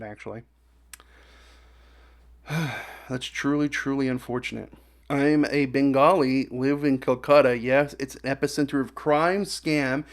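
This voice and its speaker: Man reading aloud in halting, casual fashion. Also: medium pitch. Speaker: reaction video host.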